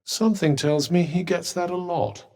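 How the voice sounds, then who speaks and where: A man's voice: sits high, fairly even intonation, moderate pace, a little mellow, close to an everyday voice. A man with a British accent voicing a haughty, sardonic talking magical object in a video game, with a dry, condescending and theatrical manner. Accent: British English. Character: sardonic, haughty, well-spoken talking magical cuff, male. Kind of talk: video game character dialogue.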